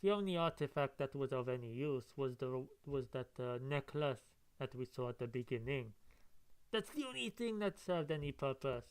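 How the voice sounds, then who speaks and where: A man's voice: medium pitch, lively intonation, moderate pace, clear, resonant tone. A man reading a comic aloud and commenting on it casually, with musing, rambling asides. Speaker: comic book reader and reviewer. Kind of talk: casual read-along with running commentary.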